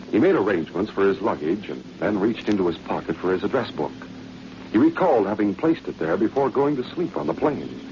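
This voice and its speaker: A man's voice: deep voice, darkish tone. A man narrating a scripted 1940s radio adventure with dramatic storytelling. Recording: dull, faintly noisy vintage radio recording.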